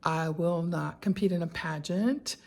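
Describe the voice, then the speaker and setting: An older woman, telling a personal story to camera in an easy, conversational way. Her voice sits a little low, and she keeps things chatty and candid, dropping in asides and small laughs. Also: measured pace, darkish tone. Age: 60s. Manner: conversational with frequent fillers and asides. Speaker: lifestyle vlogger. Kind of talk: personal reflective vlog.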